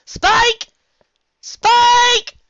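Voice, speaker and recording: Storybook unicorn student, male reader: young, female, bookish, excitable, high and bright. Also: drawn-out words, no room sound, dull audio, exaggerated voice. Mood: excited shouting. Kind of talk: fan fiction audiobook character voice.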